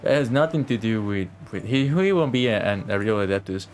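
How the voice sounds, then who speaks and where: Casual male game streamer over soft music. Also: on the low side, lively intonation, brisk. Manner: informal, sweary, thinks aloud. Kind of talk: casual live playthrough commentary.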